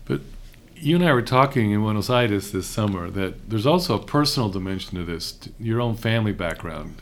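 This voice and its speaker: A middle-aged man from Houston hosting a relaxed conversation, chatty with frequent hesitations. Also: lowish voice, fairly quick, slight background noise. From raised in Houston. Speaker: host, seminary professor. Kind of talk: casual conversation.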